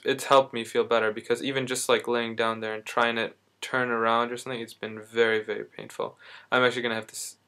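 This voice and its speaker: A young man records a casual video diary while he is in back pain. He rambles and corrects himself, with plenty of fillers. His voice sits in an ordinary middle pitch for a man. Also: fairly bright tone. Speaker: vlogger.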